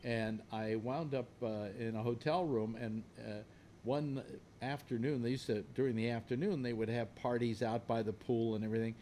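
A man's voice: mid-range voice, steady tone, moderate pace, warm tone, a little hiss or hum. A man in his sixties hosting a casual panel chat over a video call, blunt, crude and sardonic. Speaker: host. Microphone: studio mic on arm.

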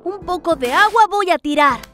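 A woman's voice: very high-pitched, animated, normal speed. A woman voicing a cheerful young cartoon lion cub, narrating in bouncy sing-song rhymes. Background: children's music.

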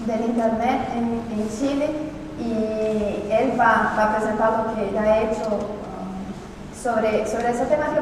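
A middle-aged woman with a Portuguese-tinged Spanish accent, whose first language is not Spanish, giving an academic conference talk in a lecture hall, explaining thoughtfully with frequent pauses and restarts. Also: fairly high pitch, background noise. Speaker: conference speaker. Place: large lecture hall with audience. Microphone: far room recording of a hall talk. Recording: echoey hall recorded from far off.

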